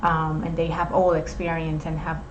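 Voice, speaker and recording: A young woman with a Puerto Rican accent talks in a fairly low voice and explains things in a measured way. The recording sounds a little dull. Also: flat delivery, noisy background. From Puerto Rico. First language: Spanish. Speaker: host. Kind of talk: casual chat.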